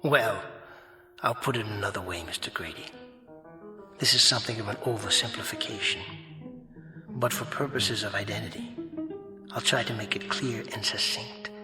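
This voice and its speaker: An actor playing an eloquent, sardonic spirit of fate in a radio drama, speaking in grandiose, wordy phrases. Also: male voice, fairly low pitch, normal speed, long pauses, close to an everyday voice. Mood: lofty, grave. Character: eloquent, mocking male spirit of fate and conscience. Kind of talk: vintage-style radio drama acting. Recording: reverberant hall-like echo effect, faint music.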